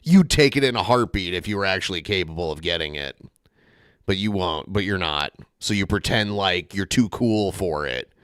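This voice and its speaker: A man's voice: deep voice, animated, moderate pace, fairly bright tone, smooth, no echo. Dry-sounding sarcastic male podcast host. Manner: sarcastic, rambling with many "like" fillers. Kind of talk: casual reaction commentary.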